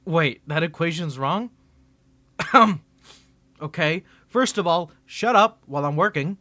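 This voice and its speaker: A smug, teasing college rival, played by a young man in an ASMR roleplay. His voice is bright and lively, his delivery slow and sarcastic. The sound is dry and close to the mic. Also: a little high, no room sound.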